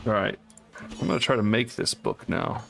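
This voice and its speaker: A man's voice: fairly low pitch, normal speed, vocal fry. A man co-hosting a let's play, chatting casually and reading item stats aloud as he plays. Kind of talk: casual gaming chat. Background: faint game music.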